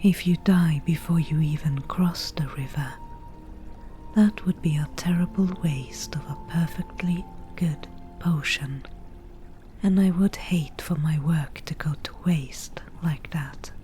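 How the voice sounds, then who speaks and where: A woman playing a wry forest witch who bargains over potions, in a soft breathy whisper with a slow, dryly teasing manner, as ASMR fantasy roleplay. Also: low-pitched, soft background music. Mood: calm, wry.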